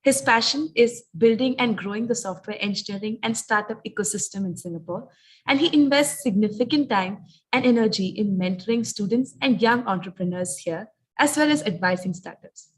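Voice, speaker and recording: A woman's voice: medium pitch, a little fast, slightly rough, low-quality recording. A woman acting as emcee, formally reading out a guest speaker's introduction. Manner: formal, read-out. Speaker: event emcee.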